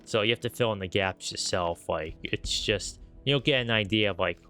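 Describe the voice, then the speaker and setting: A man's voice: fairly low pitch, normal speed, bright, forceful tone, soft background music. A man streaming a video game with a stuffy, slightly nasal voice, rambling casually as he plays. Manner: rambling, lots of fillers and restarts. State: stuffy nose, a bit nasal. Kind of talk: casual gameplay commentary.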